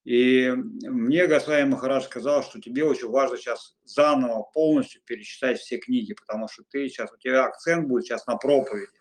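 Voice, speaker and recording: A middle-aged man, a monk, giving informal spiritual guidance in a halting way, with many fillers and restarts. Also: mid-range voice.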